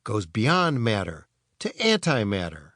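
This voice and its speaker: A man's voice: lowish voice, huge pitch swings, a little slow, a bit bright, no echo, clean studio sound, full character voice. A man voicing an earnest boy inventor in a children's storybook, explaining science eagerly and emphatically.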